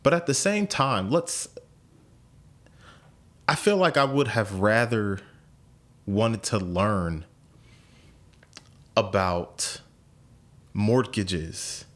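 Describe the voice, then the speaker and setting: A man's voice: lowish voice, animated, very slow, pauses often, fairly bright tone. A man in his late twenties, hosting a podcast in casual conversation, thinking aloud with frequent repeats and restarts. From United States. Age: 20s. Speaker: podcast host.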